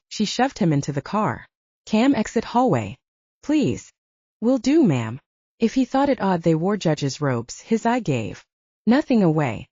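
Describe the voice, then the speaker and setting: A woman's voice: lowish voice, mellow, breathy edge, no echo. A woman narrating fiction as a scripted, dramatic audiobook reading. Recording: slightly dull, dry close recording.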